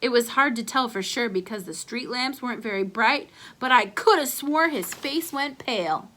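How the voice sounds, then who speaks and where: A woman reading a children's chapter book aloud as an expressive storyteller. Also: fairly high pitch, normal speed.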